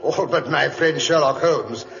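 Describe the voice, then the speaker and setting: An older English gentleman, genial and a little fussy, with a British accent and a fairly high voice. He spins his tale in chatty old radio drama style. The sound is a dull, slightly noisy vintage recording. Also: a little slow, gravelly. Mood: storytelling. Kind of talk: 1940s radio acting.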